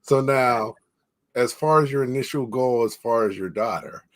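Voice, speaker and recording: A man's voice: medium pitch, moderate pace. A man hosting an online interview, affirming the guest and putting questions to her with easy fillers. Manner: relaxed, affirming, many fillers. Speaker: interview host.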